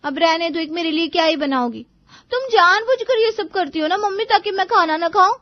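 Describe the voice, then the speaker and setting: A woman's voice: extremely high pitch, expressive, a little fast, dry recording, dull audio, pitched above the performer's own voice. A woman voicing an irritable, sarcastic young daughter in a cartoon family story, complaining and taunting. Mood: irritated.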